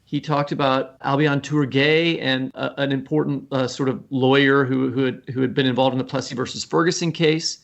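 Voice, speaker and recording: Creaky-voiced man explaining things earnestly. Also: medium pitch, fairly even intonation, normal speed, hardly any pauses, highs cut off. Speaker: guest writer. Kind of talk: conversational literary podcast discussion.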